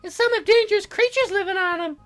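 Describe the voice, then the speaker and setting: A man's voice: very high-pitched, fast, fairly bright tone, faint music behind, pitched above the performer's own voice. A man voicing a perky, cheeky teenage cloud fairy girl with a sassy, casual, boastful way of talking, for a video game. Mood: matter-of-fact.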